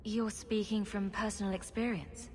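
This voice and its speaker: A woman's voice: mid-range voice, steady tone, normal speed, lightly acted. A woman playing a confident, practical adventurer in a video game, speaking in a wry, direct way. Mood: businesslike. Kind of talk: video game dialogue acting.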